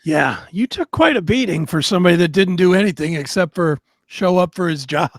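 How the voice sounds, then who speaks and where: A man's voice: high voice, expressive, a little fast, no echo, studio quality. A man co-hosting a talk show, giving opinions in a blunt, emphatic and salty way.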